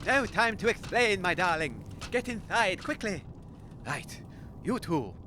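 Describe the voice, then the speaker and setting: An actor playing an eccentric, gallant inventor in an audio comedy drama, grave and theatrical, declaiming dramatically. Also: male voice, high voice, very animated, a little slow, noisy background. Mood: grave, urgent.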